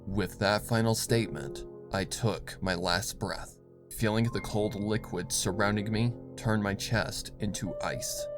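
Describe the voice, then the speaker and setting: Very slow, low-voiced male narrator. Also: steady tone. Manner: solemn, deliberate. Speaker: story narrator. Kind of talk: dramatic scripted narration of horror fan fiction. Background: music.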